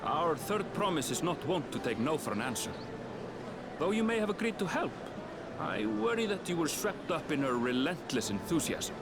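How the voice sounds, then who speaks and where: A courteous, earnest young guide. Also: male voice, fairly high pitch, very animated, gravelly. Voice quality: measured, formal and courteous. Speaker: game character guide. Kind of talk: video game cutscene dialogue. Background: game music.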